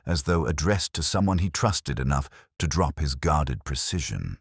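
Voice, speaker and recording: A man narrating a scripted first-person detective story in a measured, literary, brooding manner. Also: a bass voice, breathy edge, dry recording, clean studio sound.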